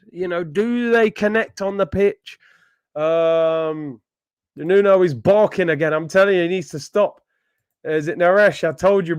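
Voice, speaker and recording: Young guy doing a footy watchalong, high voice, dry close sound, loads of slang. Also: dry recording. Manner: slangy, chatty, bursts into excited shouting at big moments. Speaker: host of a football watchalong stream. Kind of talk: live football watchalong chat and commentary.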